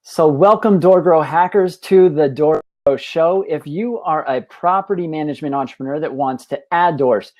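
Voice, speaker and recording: A man hosting a business podcast, opening with an energetic scripted welcome before interviewing his guest. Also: fairly high pitch, normal speed, few pauses, muffled recording. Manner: energetic, promotional. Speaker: podcast host. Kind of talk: scripted show intro then interview.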